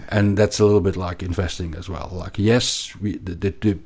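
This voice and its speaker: Finance guest: middle-aged Belgian man, accented, very deep voice, halting delivery. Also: steady tone, a little mellow, husky. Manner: hesitant, many repeated words and restarts. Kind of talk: conversational interview.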